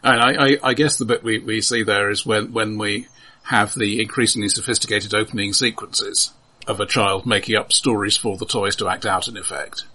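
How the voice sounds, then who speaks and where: A man's voice: on the low side, fairly even intonation, moderate pace, bright, forceful tone, husky, poor audio. A man co-hosting a casual, analytical film discussion, reasoning tentatively step by step. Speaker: podcast host.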